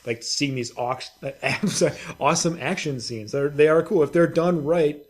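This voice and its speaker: A man co-hosting a podcast in casual banter about video games, crude, joking, self-deprecating and quick to swear. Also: on the high side. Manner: crude, joking, freely profane. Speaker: podcast co-host.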